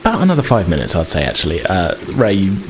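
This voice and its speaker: A man's voice: deep voice, huge pitch swings, quick pace, background noise. A man narrating a tabletop roleplaying game as game master, conversational and a little hesitant as he improvises. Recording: loud music and rain ambience behind. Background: music, rain sound effects.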